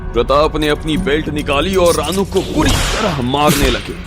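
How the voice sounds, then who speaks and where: A man's voice: fairly high pitch, lively intonation, moderate pace. A man narrating a scripted audio story in a dramatic storytelling style. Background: faint music.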